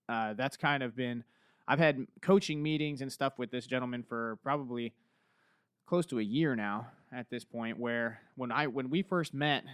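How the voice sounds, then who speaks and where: A man's voice: medium pitch, fairly bright tone, clean tone, no room sound. A man hosting a podcast, upbeat and joking, who introduces guests fluently with a few fillers, recorded in a university podcast studio. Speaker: podcast host. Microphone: podcast mic.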